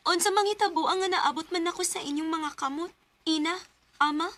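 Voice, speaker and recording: A woman's voice: very high-pitched, a little fast, a bit bright. A woman acting a tender, sincere young woman who carries hurt, in a radio drama. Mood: shocked, pleading.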